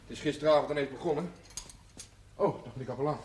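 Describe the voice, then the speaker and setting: A man's voice: medium pitch, fast talker, a little mellow, lightly acted. Restrained, polite man acted, faintly noisy. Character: polite, measured grown son seeking reconciliation. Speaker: actor in a television drama series. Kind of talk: 1970s television drama acting. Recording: noisy 1970s TV soundtrack.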